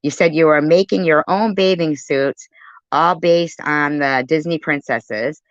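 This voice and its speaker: A woman's voice: deep voice, a little slow, dry recording, highs cut off. A woman giving casual reaction commentary on a live stream, chatty and argumentative as she interjects.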